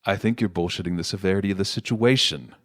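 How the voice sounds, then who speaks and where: A man's voice: low voice, fast talker, dry recording, studio quality, lightly acted. An actor playing a middle-aged politician father in an audio play, persuasive and defensive, talking down to his grown daughter. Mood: condescending. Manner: condescending, self-justifying.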